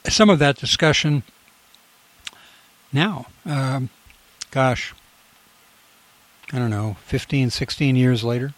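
A man hosting a community radio show, talking casually and rambling with restarts. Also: on the high side. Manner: rambling, many fillers and restarts.

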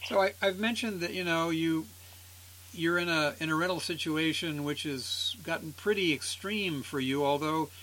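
A man's voice: fairly high pitch, moderate pace, fairly bright tone, slight background noise, poor audio. A man hosts a community radio program in an easygoing way, meandering through his remarks. He often stops on fillers before he reaches his point. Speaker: radio host. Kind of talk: radio interview.

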